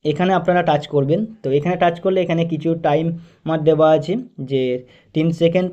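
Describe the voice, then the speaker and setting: A man with a fairly high, very mellow voice, guiding listeners through instructions step by step. He is methodical and repeats his phrasing as he goes. The recording is a slightly dull, lo-fi voice-over. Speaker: tech tutorial host. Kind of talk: step-by-step phone tutorial narration.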